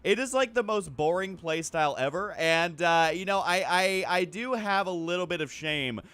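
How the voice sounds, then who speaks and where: Male gaming host, fairly high-pitched, loose and self-deprecating, talks through each play as it comes. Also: expressive, normal speed, fairly bright tone, clean tone, no echo. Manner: self-deprecating, rambling, thinking aloud. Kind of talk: casual game commentary over a card game.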